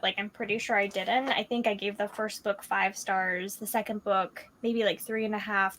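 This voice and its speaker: A woman's voice: medium pitch, a bit bright. A woman in her early twenties in a casual book discussion, venting animatedly and saying "like" a lot.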